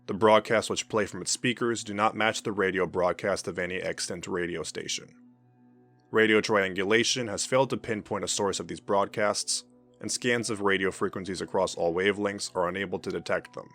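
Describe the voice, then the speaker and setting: Male narrator, lower register, formal measured read of a clinical fiction report, light music bed. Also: fairly even intonation, a bit bright.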